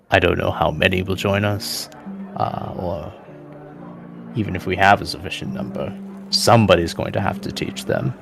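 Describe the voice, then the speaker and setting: A man's voice: deep voice, gravelly. A man playing a weary, guarded male adventurer in a tabletop game, speaking in a reticent, matter-of-fact way. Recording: voice over prominent background music.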